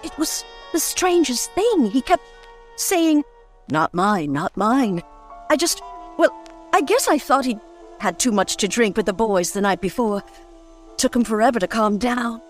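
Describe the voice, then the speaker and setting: An actress playing an anxious, genteel wife in an old-time radio melodrama, hesitant and plaintive. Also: female voice, fairly high pitch, very animated, unhurried, soft background music. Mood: uneasy, hesitant.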